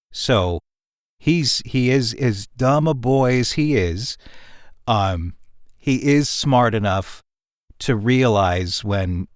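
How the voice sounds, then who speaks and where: Slow, low-voiced man, thoughtful and halting. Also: no echo, clean studio sound. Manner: halting, thoughtful, mulls over wording. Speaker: audio drama writer on a cast discussion. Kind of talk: casual, reflective discussion.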